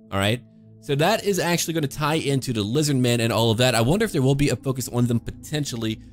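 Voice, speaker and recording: A man giving casual, speculative video game commentary in a conversational, enthusiastic way. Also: medium pitch. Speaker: gaming video creator, commentator. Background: background music.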